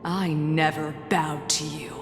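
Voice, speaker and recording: A woman's voice: deep voice, fairly even intonation, takes it slowly. An actress playing a proud, defiant woman warrior in a video game, dramatic and scornful in delivery. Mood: bitter defiance. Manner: dramatic, scornful, swinging from shouts to hisses. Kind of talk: scripted video game voice acting. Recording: echoey hall reverb under music.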